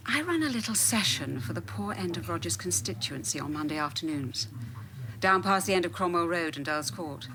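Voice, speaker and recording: A woman playing a confident, mocking upper-class political figure in a radio drama, brisk and opinionated. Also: lowish voice, expressive, background noise, close to an everyday voice. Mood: dismissive.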